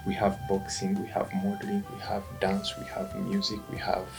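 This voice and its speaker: A middle-aged Ugandan man with a Ugandan accent, answering interview questions in a halting way, often restarting words. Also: low voice, vocal fry, music in the background. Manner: halting, restarts words, quiet then rising when animated. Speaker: guest, event company founder.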